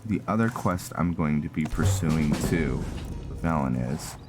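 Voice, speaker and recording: A man's voice: a bass voice, lively intonation, measured pace, music in the background. A man giving casual, rambling game commentary, thinking aloud as he plays. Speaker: gamer narrating a playthrough.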